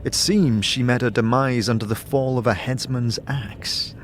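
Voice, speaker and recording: A man's voice: medium pitch, steady tone. Male fantasy narrator over music. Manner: measured, atmospheric storytelling. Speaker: narrator and game master. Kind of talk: dramatic scripted fantasy narration in second person.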